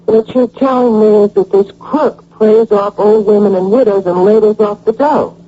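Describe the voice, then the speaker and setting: A woman's voice: mid-range voice, moderate pace, warm tone, lightly acted. An actress playing a tough, suspicious, street-wise woman in a radio drama, blunt and slangy. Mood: skeptical, brusque. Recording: dull, humming old radio broadcast recording.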